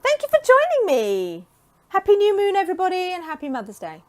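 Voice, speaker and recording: A woman in her forties from England talks casually to camera, chatty and enthusiastic with frequent ums, her voice getting hoarse. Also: extremely high pitch, huge pitch swings, a little fast, darkish tone, no room sound. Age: 40s. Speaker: creative coach and vlogger.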